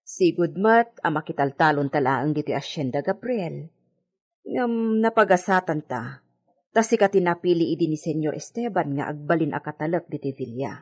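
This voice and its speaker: A woman's voice: deep voice, expressive, moderate pace, a little mellow, slightly rough. An actress with an Ilocano accent playing a loyal, patient housemaid in a radio drama, deferential and soothing as she reasons with others. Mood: reasoning, chiding. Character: loyal, patient housemaid of a hacienda villa, woman. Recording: music bed under the voice.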